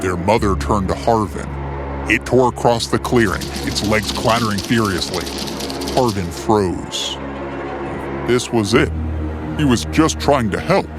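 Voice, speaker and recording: A man's voice: lowish voice, unhurried. A man reading a scripted adventure story in a theatrical, suspenseful way, as its narrator. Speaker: storyteller, narrator of a fiction series. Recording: music bed under the voice. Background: music.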